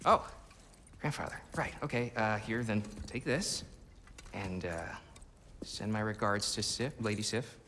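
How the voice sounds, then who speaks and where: A man's voice: on the low side, measured pace, a bit bright, slight background noise. A man playing an awkward, flustered teenage boy in a video game, stammering and eager. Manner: stammering, nervous chuckles. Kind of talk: video game cutscene acting.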